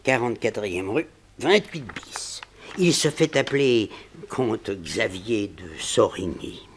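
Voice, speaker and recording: An actor playing a composed, courteous gentleman client with polite, formal speech in a 1960s French radio drama. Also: on the low side, lively intonation, slow, slightly rough, exaggerated voice. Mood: courteous, businesslike.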